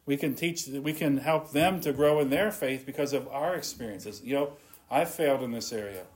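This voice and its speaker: A man's voice: mid-range voice, normal speed, poor audio. A man preaching an earnest sermon, reading scripture and retelling it in his own words. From not from Scotland.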